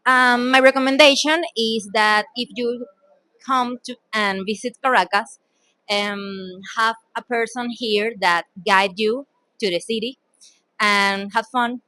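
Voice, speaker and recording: A woman's voice: fairly high pitch, fairly even intonation, slow pace, bright, forceful tone, no room sound. A woman from Venezuela with a Venezuelan accent, answering street interview questions hesitantly with many ums.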